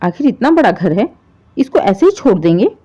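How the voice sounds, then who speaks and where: A woman's voice: medium pitch, very animated, normal speed, mellow, husky. A woman voicing a selfish adult son in a moral story, insistent and complaining as he presses his father. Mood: indignant, shocked. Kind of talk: dramatised Hindi moral story. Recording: dull, muffled lo-fi audio.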